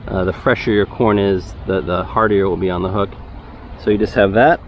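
A man's voice: fairly low pitch, fairly quick. A young man with a Texan accent, casually explaining how he fishes as he goes, recorded outdoors on a head-mounted camera. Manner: relaxed, explanatory, gets excited when a fish bites. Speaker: fishing channel host. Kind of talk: casual fishing vlog narration. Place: outdoors by a concrete-lined urban bayou. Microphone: head-mounted action camera. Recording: dull outdoor camera audio, faint traffic noise.

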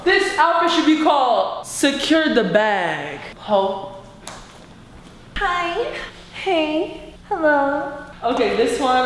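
A woman in her thirties chatting brashly and playfully through a try-on haul, filmed by a far camera in a large, bright, empty house. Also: mid-range voice. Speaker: fashion vlogger. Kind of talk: casual try-on haul chat. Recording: echoey bare room with noise.

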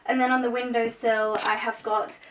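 Echoey, higher-pitched young English woman vlogging. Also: little pitch movement, moderate pace. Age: young adult. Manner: informal, chatty presenting. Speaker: lifestyle vlogger and host. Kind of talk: chatty house tour to camera. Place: rooms of a house, indoors. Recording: echoey rooms, distant mic, some noise.

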